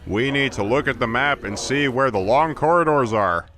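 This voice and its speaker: A man's voice: mid-range voice, lively intonation, normal speed. A man giving casual, joking commentary on a video game, with a wry, wordy, mock-formal manner. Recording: game music behind the voice. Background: video game music and sound.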